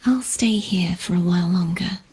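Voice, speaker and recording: An actress playing a gentle, weary young noblewoman swordfighter who speaks softly and wistfully with a breathy voice in a game. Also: lowish voice. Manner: soft, slow, wistful.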